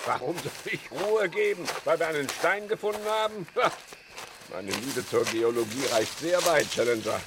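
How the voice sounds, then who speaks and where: An actor playing a sceptical professor in a radio drama, mocking and querulous in manner. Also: male voice, a little high, expressive, moderate pace, noisy background. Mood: grumbling. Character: sardonic, grumbling, sceptical male professor. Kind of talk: German radio drama acting.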